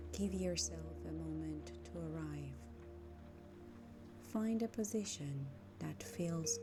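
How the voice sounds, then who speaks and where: Woman, meditation guide, slightly low pitch, extremely unhurried, gentle measured phrasing, long pauses. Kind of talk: slow guided meditation narration. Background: music.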